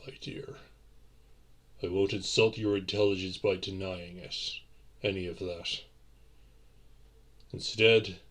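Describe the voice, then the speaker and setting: A man playing a courtly, centuries-old vampire boyfriend in a romantic audio roleplay, speaking in formal, old-fashioned, deliberate phrases. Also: low voice, fairly bright tone, slightly rough, full character voice.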